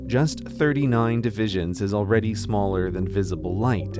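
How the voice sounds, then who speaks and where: Man, scripted narrator, deep voice, awed and grand delivery, steady music underneath. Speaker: narrator of a played video.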